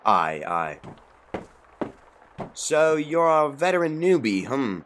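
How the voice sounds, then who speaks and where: A man playing a brisk, teasing and bossy kitchen supervisor in an audio drama, with a theatrical manner. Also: a little high, very animated, a bit bright, heavily acted. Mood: teasing, probing. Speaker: voice actor.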